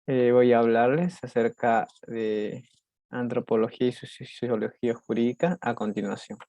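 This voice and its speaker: Halting Peruvian man with gravelly voice. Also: mid-range voice, fairly even intonation, mellow, no room sound, lo-fi sound. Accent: Peruvian Spanish. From Peru. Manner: halting, many restarts and fillers. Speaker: student presenter. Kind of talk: recorded class presentation.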